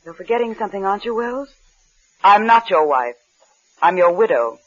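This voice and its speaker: An actress playing a cold, domineering wife in a 1950s radio suspense play, imperious and cutting. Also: female voice, medium pitch, big pitch swings, moderate pace, a bit bright. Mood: cold, commanding. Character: cold, domineering wife scolding her husband. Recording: noisy, muffled old radio recording.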